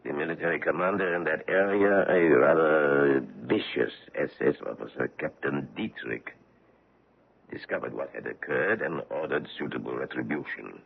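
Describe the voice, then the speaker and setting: An actor playing a courteous, probing foreign investigator on the trail of a war criminal, in a 1970s radio drama. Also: male voice, rumbling low, normal speed, vocal fry, slightly rough, exaggerated voice. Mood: suave, probing. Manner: courteous, probing, gently menacing. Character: suave middle-aged foreign male war-crimes investigator. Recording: tinny old radio broadcast.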